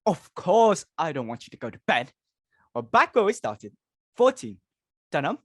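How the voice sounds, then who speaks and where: A woman's voice: low-pitched, sing-song, moderate pace, no echo. Frantic, domineering society matron played by a woman, grand and exclamatory stage-comedy delivery, dry recording. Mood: scolding. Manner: theatrical, self-important, exclamatory. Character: imperious, frantic society hostess and domineering mother, married woman. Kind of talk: dramatic reading of a stage comedy.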